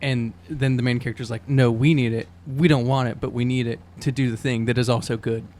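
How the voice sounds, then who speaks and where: A man playing a tabletop roleplaying game, improvising casually in a halting way and repeating words. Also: medium pitch, steady tone, a little mellow. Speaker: player in an actual-play podcast.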